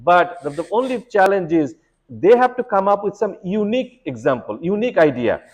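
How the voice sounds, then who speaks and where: A man's voice: high voice, sing-song, fairly quick. A middle-aged man from Bangladesh, an immigration lawyer giving earnest advice to students, restarting often and leaning on fillers.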